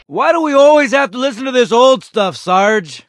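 An actor playing a gutsy but anxious young Marine soldier in a video game, with strained, clipped military delivery. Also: male voice, extremely high pitch, lively intonation, normal speed, a bit bright, dry recording. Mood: cocky, spirited. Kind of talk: video game combat voice lines.

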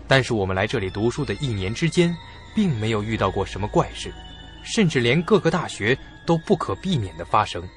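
A man's voice: lowish voice, big pitch swings, a little fast, soft background music. A man narrating a suspense radio drama in scripted storytelling style.

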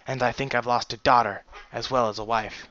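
A man's voice: mid-range voice, steady tone, a little fast, bright, forceful tone, lo-fi sound, natural voice. A man voicing a stern, embittered stallion father with an accusing manner in a storybook reading. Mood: cold, grieving. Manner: angry, cold, accusing.

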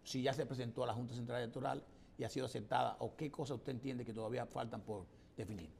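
A man's voice: mid-range voice, fairly even intonation, fast talker, gravelly, a little mellow, a little hiss or hum. A man with a Dominican accent questioning a guest conversationally as a political interviewer, often repeating his words. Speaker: interviewer on a political talk show.

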